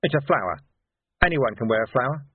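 Man acting a gruff, hot-tempered police commander. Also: medium pitch, expressive, fairly quick, no room sound, phone-quality sound. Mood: sarcastic, curt. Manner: blunt, sarcastic.

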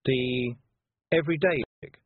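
A man voicing a stubborn, plain-spoken young girl witch in a storybook reading, blunt and quietly critical. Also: medium pitch, sing-song, normal speed, slightly rough, no echo, pitched above the performer's own voice. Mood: puzzled, doubtful. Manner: blunt, muttering. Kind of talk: audiobook character voice. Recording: muffled narrow-band phone-like sound.

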